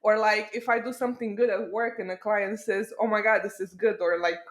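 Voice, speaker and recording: A woman from Romania hosting a podcast, asking questions in an animated, self-teasing way. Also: mid-range voice, brisk. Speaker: podcast host. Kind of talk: casual podcast conversation.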